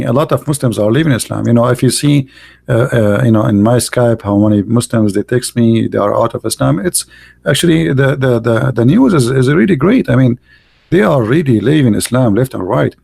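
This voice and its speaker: A man's voice: mid-range voice, lively intonation, brisk, vocal fry, a little mellow, husky. A man with an Arabic accent, a native Arabic speaker, hosting a live stream and arguing heatedly in mocking, emphatic English with non-native grammar. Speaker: live-stream host debating callers. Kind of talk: heated religious debate talk.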